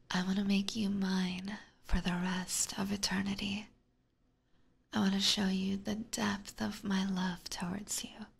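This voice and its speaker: A breathy, whispered voice with a soft crackle, a woman acting as a clingy yandere vampire catgirl. She speaks in a doting, menacingly sweet way, lingering on her words. It is an intimate ASMR roleplay, close on a binaural mic. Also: medium pitch, exaggerated voice. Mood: soothing, obsessive. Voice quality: breathy, mostly unvoiced whisper. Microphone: close binaural ear microphone.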